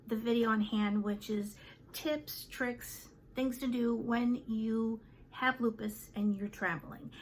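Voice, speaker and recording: Vlogger, woman, 50s, talkative and unscripted, somewhat high voice. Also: a little hiss or hum, poor audio. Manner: chatty, with many fillers and trailing pauses. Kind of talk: casual chat to camera.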